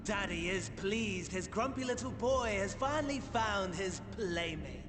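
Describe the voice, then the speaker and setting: A man's voice: high voice, lively intonation, a little slow, music in the background. An actor playing a mocking male villain who speaks with sneering, theatrical glee in a game cutscene. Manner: sneering, theatrical, playful mockery. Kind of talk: video game cutscene acting. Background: orchestral game score.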